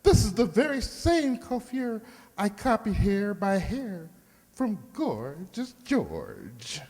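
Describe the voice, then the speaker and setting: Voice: medium pitch, big pitch swings, slow, darkish tone, slightly rough, heavily acted. An actor playing a flamboyant, boastful hairdresser with an effusive, theatrical manner in a radio comedy. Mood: showy, excited. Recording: echoey hall, long reverb.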